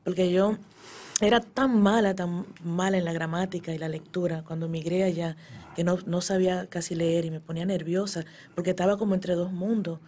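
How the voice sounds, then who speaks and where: Low-voiced middle-aged Dominican woman. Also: steady tone, moderate pace, a little mellow, a little hiss or hum. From born in the Dominican Republic, emigrated to the US at ten. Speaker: guest, poet and actress. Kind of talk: casual interview storytelling.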